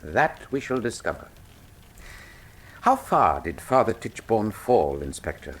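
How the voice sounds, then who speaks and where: An actor playing a brilliant, cool Victorian detective, speaking formally and with dry wit in a radio drama. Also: male voice, fairly low pitch, big pitch swings, measured pace, breathy edge, full character voice. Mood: incisive, businesslike. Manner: precise, formal, dryly witty. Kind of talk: full-cast radio drama acting.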